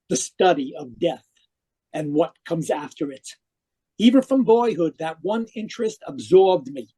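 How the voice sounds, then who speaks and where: A man's voice: sits high, warm tone, breathy edge, low-quality recording. An actor playing an intense, death-obsessed middle-aged scholar who tells his tale formally in an old-time radio drama.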